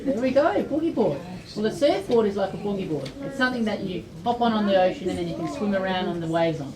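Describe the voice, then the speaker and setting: A woman's voice: mid-range voice, expressive, quick pace. Australian woman, children's storyteller, simple explanations, frequent questions, roomy distant sound, chatter behind. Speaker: storyteller and presenter at a library children's event. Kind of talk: informal educational talk to young children. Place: indoor library event room. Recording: echoey room, distant mic, children's chatter.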